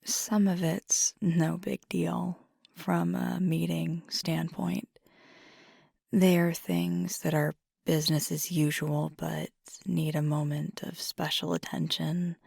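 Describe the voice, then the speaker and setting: A woman playing an affectionate, teasing werewolf girlfriend in an ASMR roleplay, speaking in a soft, breathy, half-whispered voice with casual pauses. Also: on the low side, level tone, no echo, clean studio sound, exaggerated voice. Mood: thoughtful, subdued. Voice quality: breathy, husky, gravelly fry. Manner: casual, unhurried, hesitant pauses. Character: fiery werewolf girlfriend, affectionate, teasing, weary pack member.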